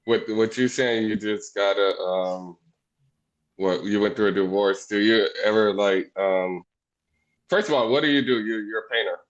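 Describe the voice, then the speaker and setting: A man's voice: lowish voice, normal speed. A man co-hosting a podcast, asking questions with many fillers and restarts. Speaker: podcast co-host. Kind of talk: casual interview. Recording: dull lo-fi call audio.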